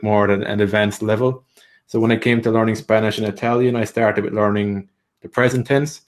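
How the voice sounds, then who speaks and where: A man giving language-learning tips in a live training session, explaining steadily with frequent "so" and "like". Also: fairly low pitch.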